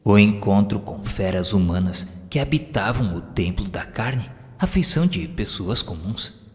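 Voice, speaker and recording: A man with a Brazilian accent reads a scripted audio novel as its narrator, in a solemn, formal and measured literary manner. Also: lowish voice, animated. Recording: long hall reverb, music underneath. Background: background music.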